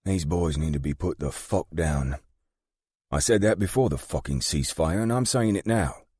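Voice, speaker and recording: A man voicing a tough, foul-mouthed gang matriarch and grandmother with a British accent, blunt and sharp. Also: low voice, normal speed, breathy edge, no echo, clean studio sound, voice pushed up. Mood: blunt, scornful.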